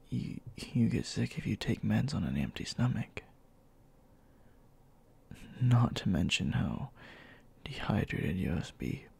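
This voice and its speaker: A man playing a gruff, worried and tender young boyfriend in an ASMR roleplay, speaking softly and haltingly in a breathy, gravelly half-whisper. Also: medium pitch, heavily acted. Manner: soft, halting, intimate.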